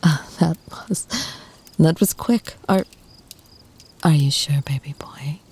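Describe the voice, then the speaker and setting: Woman playing a slow, half-whispering ancient vampire lover. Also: deep voice, slightly rough, full character voice. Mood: surprised, tender. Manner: soft, half-whispered, slow, deliberate, formal phrasing. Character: ancient, wealthy female vampire, tender, protective lover. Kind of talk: ASMR roleplay, intimate monologue to the listener.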